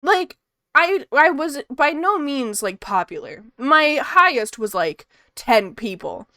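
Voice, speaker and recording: A woman's voice: high-pitched, very animated, slow, pushed and bright, dry recording, clean studio sound. A woman streaming her art, chatting casually and rambling with frequent laughs, in a soothing voice. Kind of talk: casual chat while drawing on stream.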